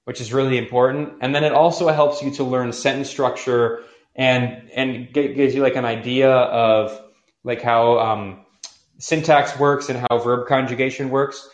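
A young man hosts a call-in show in an easy, rambling way. He stops and restarts often while he gathers his thoughts, and the room gives his voice a clear echo. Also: mid-range voice, fairly even intonation, moderate pace, lo-fi sound. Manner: rambling, thinks aloud with many fillers. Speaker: podcast host taking calls. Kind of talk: casual call-in chat. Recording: roomy echo.

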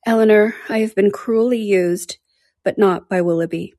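A woman's voice: medium pitch, moderate pace, mellow, no room sound, studio quality, close to an everyday voice. A woman reading aloud and voicing a heartbroken, passionate young woman who pleads in anguish.

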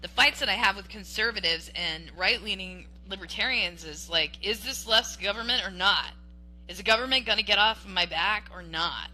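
A woman with a very bright, ringing voice argues her point on a podcast. She talks emphatically and animatedly, often restarting mid-sentence and checking in with "okay?". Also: medium pitch, normal speed, low-quality recording. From Western Washington. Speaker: podcast co-host. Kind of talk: casual political debate.

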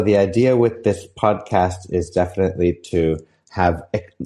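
A young man hosting a casual podcast interview, talking haltingly with many fillers and restarts. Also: lowish voice, dark tone. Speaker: podcast host.

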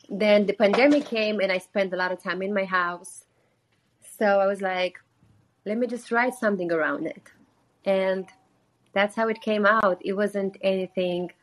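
A young woman from Romania, speaking with a Romanian accent, who chats earnestly and uses "like" a lot as the guest on a call-in interview. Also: medium pitch. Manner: chatty and earnest, with frequent "like" fillers. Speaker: singer-songwriter guest.

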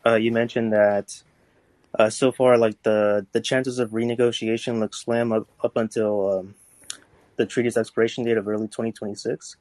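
A man's voice: lowish voice, flat delivery, normal speed, a little mellow, no room sound. A man calling in with a question, polite and tentative, filling gaps with frequent ums. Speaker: caller. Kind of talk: call-in question.

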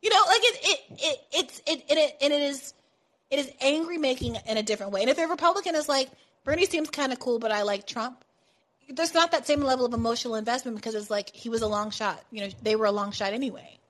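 A woman hosting a political talk podcast, animated and sarcastic, building into quick rants. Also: high voice. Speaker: podcast host.

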